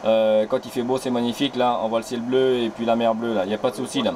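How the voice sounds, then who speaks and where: A man from New Caledonia answering on a radio link as operator, unhurried and relaxed, dotting his talk with fillers. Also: mid-range voice, slight background noise. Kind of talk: CB radio contact.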